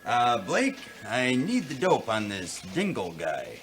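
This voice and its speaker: A man playing a wry, hard-drinking private eye, narrating deadpan in the style of a 1940s radio detective parody. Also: mid-range voice, slow pace, full character voice. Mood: casual coaxing. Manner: deadpan, wisecracking noir narration. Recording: roomy sound, music underneath.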